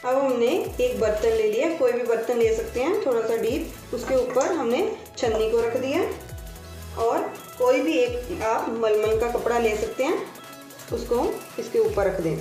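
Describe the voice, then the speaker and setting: Woman calmly explaining a recipe, roomy. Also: on the high side. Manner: unhurried, repeats steps patiently. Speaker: cooking channel host. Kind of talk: step-by-step cooking instruction. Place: home kitchen. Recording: roomy, distant sound with faint music.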